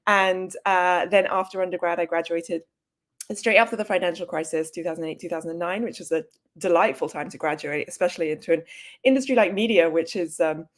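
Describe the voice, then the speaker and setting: A woman's voice: lowish voice, fairly quick. A woman in her thirties from Switzerland, a native German speaker with a British accent, telling her story conversationally with wry self-deprecation. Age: 30s. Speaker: journalist and author, interview guest.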